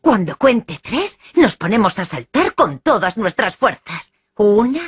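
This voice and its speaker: A woman voicing a calm, patient mother pig in a cartoon dub. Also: medium pitch, a little slow. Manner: patient, gentle.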